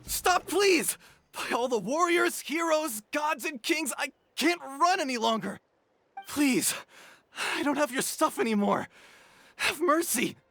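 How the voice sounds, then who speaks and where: An actor playing a brash, cowardly young bandit in a video game, whiny, cocky and theatrical. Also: male voice, very high-pitched, expressive, measured pace, pushed and bright, soft background music, heavily acted. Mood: panicked. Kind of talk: video game character acting.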